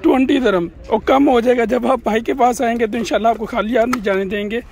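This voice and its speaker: Man, high voice, chatty market-tour vlogger, phone mic outdoors. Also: fairly even intonation, fast talker, a little mellow, a little hiss or hum. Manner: chatty, keeps addressing viewers as "janab". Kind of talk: walking market tour vlog. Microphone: phone camera held by the walking speaker.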